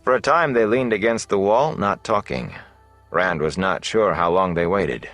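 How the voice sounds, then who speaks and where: A man with a low voice reads a fantasy novel aloud. His narration is measured and dramatic. The recording is dry, with faint music underneath. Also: expressive, a bit bright, dry recording. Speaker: audiobook narrator.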